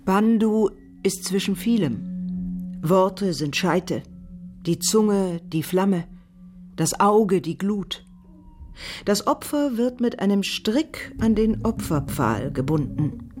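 An actress playing a solemn woman who recites mystical ancient verses in an incantatory, deliberate way in a radio play. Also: medium pitch, expressive, over music.

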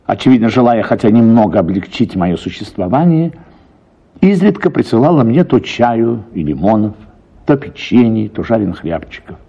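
A man narrates a literary story in an expressive, lively voice. His tone is mellow and a little husky, on a slightly dull radio recording. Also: lowish voice, moderate pace. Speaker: narrator, actor reading.

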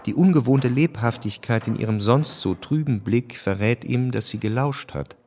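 A man narrating a radio drama from a script in a measured, matter-of-fact way. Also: medium pitch, a little mellow.

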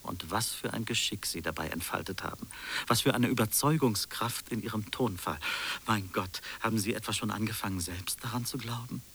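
An actor playing a mocking, superior interrogator in a literary radio drama, theatrical, moving between soft irony and sharp emphasis. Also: male voice, on the low side, brisk, slightly rough. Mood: insinuating, mocking. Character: sardonic, accusing male interrogator, mocking and superior.

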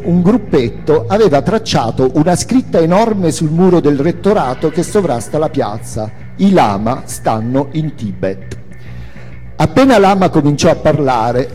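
A man's voice: fairly high pitch, warm tone. A man reading an autobiographical memoir as a theatrical narration. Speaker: author-performer reading a memoir. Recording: long hall reverb, music behind. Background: music.

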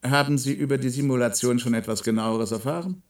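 An actor playing a self-assured, middle-aged scientist who explains in a measured, didactic way in a German science-fiction radio play. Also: male voice, medium pitch, lightly acted. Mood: self-assured, explanatory. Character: self-assured male scientist, explanatory, slightly evasive project leader.

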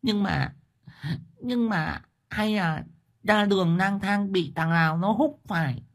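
A woman's voice: mid-range voice, slow pace. A woman playing a coarse, talkative village wife who complains bluntly about her husband in an audio story. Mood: tearful protest. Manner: blunt, exclamatory, colloquial complaining. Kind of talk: dramatized audio story dialogue.

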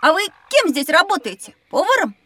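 A woman voicing a curious, excitable young child in a children's educational audio drama, eagerly questioning and exclaiming. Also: very high-pitched, fairly quick, heavily acted. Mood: excited.

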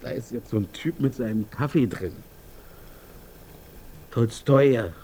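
An actor plays a laconic local man telling stories in a radio drama. He speaks colloquially and very slowly, with slight noise and ambience around his voice. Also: mid-range voice, mellow, natural voice. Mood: laconic, resigned. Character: unhurried male local recounting his neighbourhood's decline.

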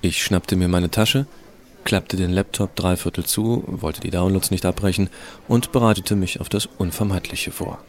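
A man's voice: low-pitched, steady tone, moderate pace, close to an everyday voice. A man playing a cocky, irreverent teenage schoolboy who narrates his own story in a sardonic, colloquial way in an audio drama. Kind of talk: first-person scripted narration, fan audio drama.

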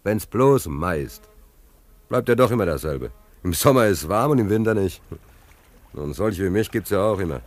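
An actor playing a talkative, wry, soft-hearted homeless angler in a German radio play, colloquial and repeating himself. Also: male voice, deep voice, expressive, normal speed, no echo. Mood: chatty, wry.

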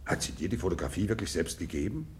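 A man playing an excitable, hearty, insinuating gentleman in a radio drama, theatrical and exclamatory. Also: sits low, fast, a little hiss or hum. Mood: gleeful surprise.

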